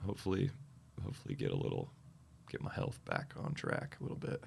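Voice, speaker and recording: Male musician guest, very low and gravelly, voice a bit scratchy and worn, rambles with fillers. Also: sing-song. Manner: rambling, halting with many fillers. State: slightly scratchy, tired voice. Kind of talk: casual interview chat.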